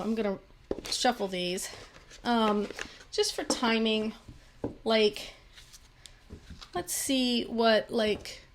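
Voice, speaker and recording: A woman's voice: mid-range voice, creaky, background noise. A young woman giving a pick-a-card tarot reading, talking in a rambling way with many fillers and restarts, her voice a little worn. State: slightly losing her voice. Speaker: tarot reader.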